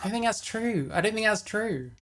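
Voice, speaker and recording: A man's voice: high voice, lively intonation, moderate pace, crowd noise. A man giving casual, matter-of-fact livestream commentary, blunt and prone to swearing. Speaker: stream co-commentator.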